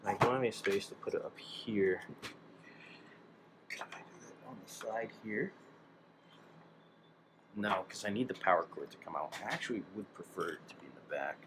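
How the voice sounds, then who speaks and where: A man's voice: lowish voice, normal speed, long pauses, background noise. A man thinking aloud in a casual, hesitant way, half talking to himself as he narrates hands-on hobby work. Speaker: DIY electronics hobbyist narrating his work.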